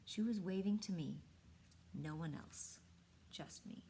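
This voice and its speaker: A woman reading a storybook aloud expressively, with dramatic pauses and hushed emphasis. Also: on the low side, slight background noise. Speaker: storybook reader.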